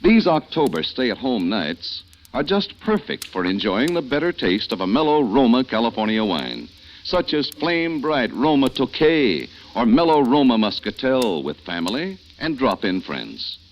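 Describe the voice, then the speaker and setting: A man reading a sponsor advert as a radio announcer, smooth and salesy, on a dull old broadcast recording with faint hiss. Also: medium pitch, sing-song, unhurried.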